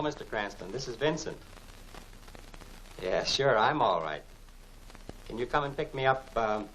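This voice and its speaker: Actor as a halting, nervous captive man. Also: medium pitch, a bit bright, natural voice. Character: captive male assistant talking nervously under threat. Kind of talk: 1940s film serial acting. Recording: faintly noisy old film soundtrack.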